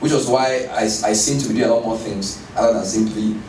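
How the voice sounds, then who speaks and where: A man speaking in an animated, emphatic way in a conversational interview. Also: fairly low pitch, brisk, a little hiss or hum. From Ghana. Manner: animated, emphatic, laughs while telling stories. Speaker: guest speaker. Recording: roomy, distant mic with background ambience.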